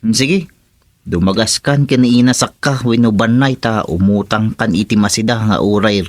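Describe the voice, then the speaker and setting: A man's voice: fairly low pitch, normal speed, no echo, close to an everyday voice. A man playing an eager village husband in an Ilocano radio comedy drama, speaking with an Ilocano accent. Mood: coaxing. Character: eager village husband keen on free work abroad.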